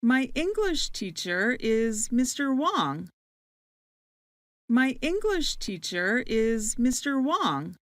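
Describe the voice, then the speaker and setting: A woman playing a friendly new student in a slow scripted dialogue for English learners, saying each line twice. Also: a little high, big pitch swings, no echo, clean studio sound.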